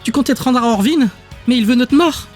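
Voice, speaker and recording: A woman's voice: a little high, fairly quick, a little mellow, soft background music, natural voice. A woman playing a determined, reproachful wife in dialogue from an audiobook drama. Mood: alarmed. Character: determined, reproachful wife who tracked her husband.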